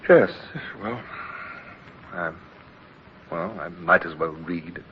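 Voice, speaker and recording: An actor playing an earnest, excitable young British naval midshipman in an old radio drama, sometimes hesitant, with a British accent. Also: deep voice, slow pace. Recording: dull, noisy old radio recording.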